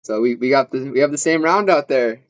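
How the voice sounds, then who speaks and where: A man's voice: medium pitch, a little fast, dry recording. A man from Western New York hosting a podcast, hesitant and fumbling for his words. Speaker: podcast host. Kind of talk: casual podcast chat.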